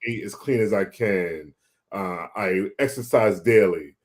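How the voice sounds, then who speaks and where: A man talking casually and telling a personal story in a halting way, repeating words as he thinks aloud. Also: deep voice. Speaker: guest sharing a personal health story.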